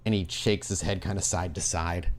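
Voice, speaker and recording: A man narrating a tabletop horror roleplay as game master, with dramatic, deliberate scene-setting. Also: low voice, steady tone, slight background noise.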